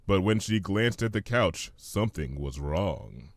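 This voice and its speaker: A man reading a story aloud as scripted, expressive narration. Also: low voice, unhurried. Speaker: fan fiction reader.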